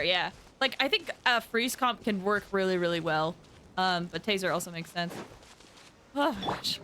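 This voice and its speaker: A woman's voice: medium pitch, sharp tone, a little hiss or hum. A woman streamer giving casual live commentary while she plays a game. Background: video game music.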